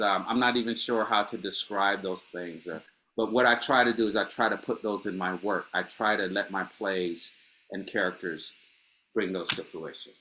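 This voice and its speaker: A man's voice: fairly low pitch, a bit bright, low-quality recording. A middle-aged man from Honduras, a playwright speaking reflectively in a panel conversation, with many restarts and fillers.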